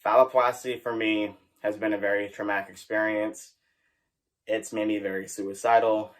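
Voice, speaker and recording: A man's voice: lowish voice, flat delivery, normal speed, rough recording. A man giving somber, earnest personal testimony in an interview.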